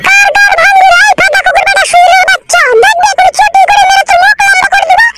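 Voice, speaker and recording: Cartoon mother-in-law, extremely shrill and high, rapid, foul-mouthed, shouting and scolding. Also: flat delivery, dry recording. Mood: angry scolding. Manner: shouting, abusive, threatening. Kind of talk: crude comedy cartoon dubbing.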